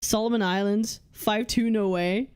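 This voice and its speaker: A young woman hosting a casual livestream, reading chat and lists aloud, blunt and swearing freely as she thinks out loud. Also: medium pitch, steady tone, unhurried, clean tone. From United Kingdom. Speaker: livestream host.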